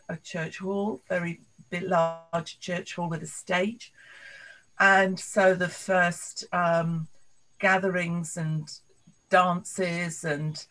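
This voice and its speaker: Slow, flat-voiced older woman. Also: fairly low pitch, rough recording. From London, England. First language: Polish. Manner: thoughtful, halting reminiscing. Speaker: interviewee. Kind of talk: oral history recollection.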